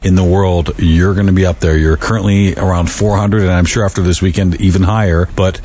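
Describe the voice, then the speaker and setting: A man's voice: low voice, fairly even intonation, a little fast, warm tone, a little hiss or hum. A man interviewing pinball players in a casual, encouraging, chatty way.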